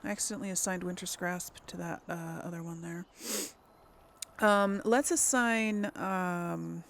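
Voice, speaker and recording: Slow, creaky-voiced woman gamer thinking aloud. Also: fairly low pitch, steady tone, a bit bright. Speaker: gaming video host. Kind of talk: casual let's play commentary.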